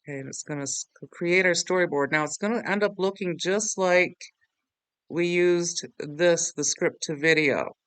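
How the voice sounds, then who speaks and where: A man hosting a software tutorial in a casual, rambling, self-deprecating way. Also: sits high, normal speed, smooth, dry recording. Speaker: tutorial host.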